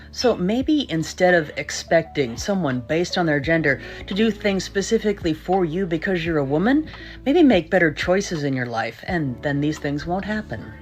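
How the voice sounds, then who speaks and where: Low-voiced woman lecturing sternly. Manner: lecturing, scolding. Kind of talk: scripted commentary video.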